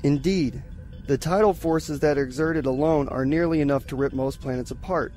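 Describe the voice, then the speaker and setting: A man's voice: fairly high pitch, normal speed, darkish tone, faint music behind, close to an everyday voice. A man acting as an eager, scholarly starship science lieutenant in a science fiction audio drama, relishing his explanations. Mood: intrigued, relishing.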